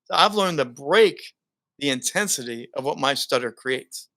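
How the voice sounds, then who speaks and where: Bright-voiced animated man telling stories. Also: medium pitch, normal speed. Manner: animated storyteller, laughs often. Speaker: guest, broadcaster and keynote speaker. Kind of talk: casual interview conversation.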